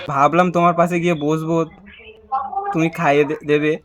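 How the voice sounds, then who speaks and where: A man's voice: fairly high pitch, level tone, brisk, over music. A young man making a prank call, chatting casually in a playful, persistent, pleading way. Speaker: prank caller. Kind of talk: casual teasing phone chat.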